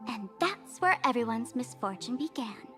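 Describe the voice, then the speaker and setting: Young female trickster, video game, high voice, mischievous, flippant, theatrical mockery. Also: very animated, moderate pace, fairly bright tone, faint music behind. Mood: cool scorn. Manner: mocking, breezy, theatrical.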